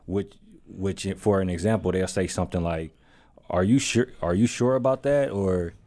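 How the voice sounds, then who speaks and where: A man's voice: low voice, moderate pace, darkish tone. Guy cohost explaining stuff, acts out little examples, conversational. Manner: explains with acted-out examples, builds up energy. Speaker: co-host. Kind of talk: casual podcast chat.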